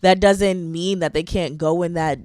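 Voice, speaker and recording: A woman's voice: lowish voice, steady tone, a little mellow, clean tone, no echo, clean studio sound. A young woman co-hosting a podcast in casual chat, agreeable and backing up her co-host. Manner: agreeable, quick to back up her sister with short replies.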